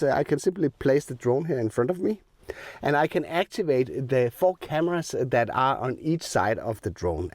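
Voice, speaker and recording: A man explaining new drone features step by step as he demos them, his voice a little rough because he is sick. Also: mid-range voice, a little mellow, no room sound, clean studio sound. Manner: plain step-by-step explaining with filler ums. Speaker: drone tech reviewer. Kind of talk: hands-on product demo. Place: outdoors in the cold.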